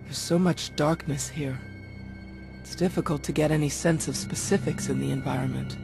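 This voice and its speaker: An actor playing an uneasy, sensitive mystic scout in a video game, with a troubled manner. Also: male voice, fairly high pitch, fairly even intonation, normal speed, gravelly, music in the background.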